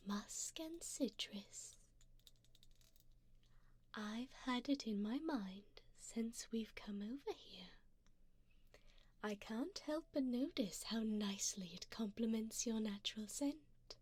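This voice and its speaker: A woman playing a wealthy, doting vampire sugar mommy in an ASMR roleplay, speaking softly in a genteel, seductive way, often slipping into a whisper close to the mic. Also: fairly high pitch, exaggerated voice. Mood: attentive, elegant, then seductive, hungry. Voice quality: soft, breathy, often dropping to a whisper. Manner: slow, genteel, formal, seductive. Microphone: close binaural microphone.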